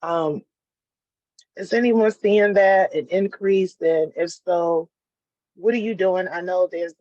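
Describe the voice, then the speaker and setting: A woman on dry, slightly muffled meeting audio. She speaks tentatively and haltingly, as a school board member putting a question to colleagues. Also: fairly low pitch, a little mellow, dry recording.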